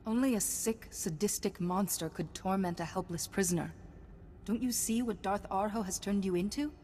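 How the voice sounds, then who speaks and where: An actress playing a composed, disciplined Jedi commander who speaks steadily. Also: female voice, medium pitch, moderate pace, dark tone, big-room sound, slight background noise, natural voice. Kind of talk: video game cutscene acting.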